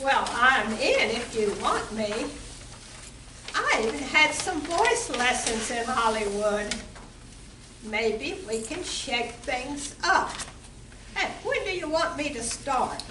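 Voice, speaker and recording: A woman playing a flirty, breezy barmaid and singer in an amateur comedy play, delivered deliberately. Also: high-pitched, lively intonation. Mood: playful, eager. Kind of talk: amateur stage comedy radio play acting. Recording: echoey room from far off, background noise.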